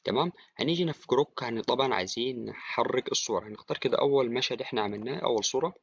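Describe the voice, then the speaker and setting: A man with an Egyptian accent giving an informal software tutorial, talking to the viewer like a friend. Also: mid-range voice, very fast, creaky, husky, no room sound. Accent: Egyptian Arabic. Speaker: tutorial presenter. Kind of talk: casual screen-recorded software tutorial. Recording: dry, slightly dull, with clicking.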